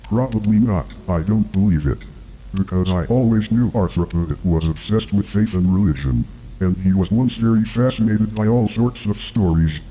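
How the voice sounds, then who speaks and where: A man's voice: low-pitched, warm tone, slightly rough, dull audio. A man playing an evasive, guarded agency commander in a scripted horror interview, speaking formally. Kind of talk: scripted analog horror dialogue.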